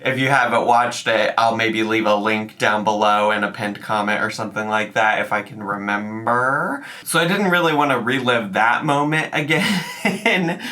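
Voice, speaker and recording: A man chats through a reaction video in a bright, forceful voice. It is a little raspy while he gets over an illness. He keeps dropping sarcastic asides between comments. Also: fairly low pitch. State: slightly raspy, recovering from illness. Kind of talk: casual commentary.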